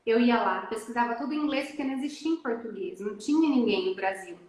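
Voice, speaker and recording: A woman in a casual live chat with a fairly high voice, telling her story with emotional, animated energy. She is on a live video call in a very echoey room. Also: fast. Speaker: guest mother. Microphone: phone or laptop on a live video call.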